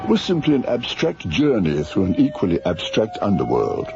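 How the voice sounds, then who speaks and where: Wildly swooping, fussy academic. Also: male voice, on the low side, wildly swooping pitch, moderate pace, creaky, full character voice. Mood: fascinated. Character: fussy, hesitant academic doctor, eager and scholarly. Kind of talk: radio drama acting. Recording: muffled radio recording, music behind.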